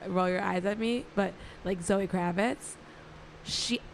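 A young woman with a raspy voice, a radio host chatting and gossiping casually. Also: mid-range voice, slow, slight background noise. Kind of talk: casual chat.

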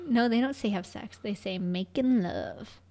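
A young woman co-hosting a podcast in casual chat, loud and projecting, with playful, sarcastic jokes. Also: medium pitch, measured pace, a little hiss or hum. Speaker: podcast co-host. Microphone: podcast studio mic.

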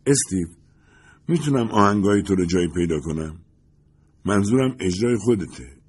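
A man's voice: deep voice, lively intonation, breathy edge. A man voicing a talkative, glamorous woman who gushes and wants to be liked. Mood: eager. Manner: gushing, eager to please.

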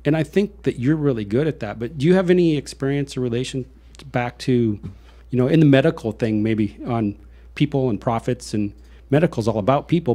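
A man's voice: medium pitch, mellow. A man with an American accent hosting a conversational interview, speaking earnestly in a rambling way with repeated phrases. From Iowa, United States. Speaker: show host. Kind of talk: conversational interview with personal reflection.

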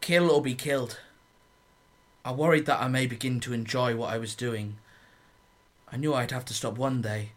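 A man's voice: medium pitch, moderate pace, pauses often, natural voice. A man playing a haunted young soldier narrating his war in a radio play monologue. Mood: anguished. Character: haunted young soldier recalling trench warfare. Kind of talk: dramatic first-person radio monologue.

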